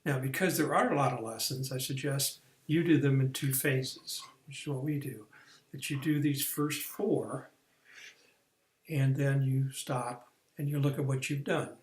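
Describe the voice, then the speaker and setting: A man's voice: mid-range voice, fairly even intonation, a little slow, roomy sound. A man explaining a career course in a plain, step-by-step way, with some restarts and fillers. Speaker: career coach. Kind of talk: recorded online training lesson.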